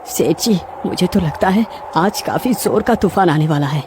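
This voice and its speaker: A woman's voice: lowish voice, animated, normal speed, noisy background, close to an everyday voice. A woman voicing a noble, earnest male king with a formal, polite way of speaking. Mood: earnest, worried. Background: clear background music.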